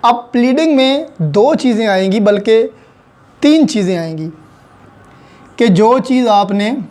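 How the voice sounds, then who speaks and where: Pakistani guy teaching law, pretty high voice but soft and mellow, goes point by point, drops english terms in. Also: expressive, a little slow. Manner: didactic, code-switches into English legal terms. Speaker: law lecturer. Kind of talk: explanatory lecture, recapping point by point.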